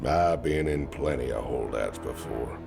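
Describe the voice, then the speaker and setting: An actor playing a gruff, cynical convict mercenary in a video game, with a gravelly drawl. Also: male voice, a bass voice, a little slow, heavily acted. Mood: defensive, gruff. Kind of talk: video game cutscene acting. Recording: music behind the voice.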